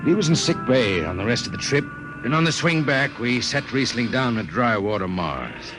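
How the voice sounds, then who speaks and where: A man's voice: mid-range voice, lively intonation, normal speed, natural voice. An actor playing a somber crewman who narrates a 1950s radio drama. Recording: vintage radio with loud music bed.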